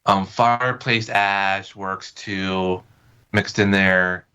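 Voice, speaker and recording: A man's voice: low-pitched, steady tone, very slow, sharp tone, clean tone. A man as a podcast guest, explaining things in casual, loosely phrased chat with tentative wording.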